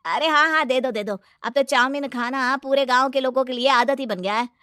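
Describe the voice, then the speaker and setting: A woman's voice: sits high, a little fast, pushed and bright, clean tone, no echo, studio quality. A woman voicing a nosy village customer who questions and complains in a moral story. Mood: protesting. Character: nosy, protesting village customer of a food cart. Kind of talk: animated moral-story character dialogue.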